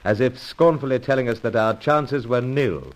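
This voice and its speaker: An actor playing a suave secret agent hero in an old-time radio adventure serial, earnest and dramatic. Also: male voice, mid-range voice, normal speed, natural voice. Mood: tense narration.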